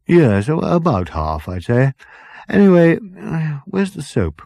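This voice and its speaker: A man's voice: on the high side, big pitch swings, a little slow, creaky, dark tone, no echo, pitched above the performer's own voice, heavily acted. A man voicing a genial, vague ship's captain, rambling politely in a comic science fiction audiobook. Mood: rambling, cheerful. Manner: rambling, hesitant, chummy, polite upper-class politeness. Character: genial, vague, dithering male ship's captain, cheerfully unbothered.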